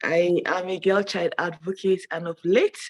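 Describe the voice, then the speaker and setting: A woman's voice: on the low side, fairly even intonation, normal speed. Nigerian woman on a panel. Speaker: panelist, child advocate. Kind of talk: self-introduction on a panel. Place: online call with an unsteady connection.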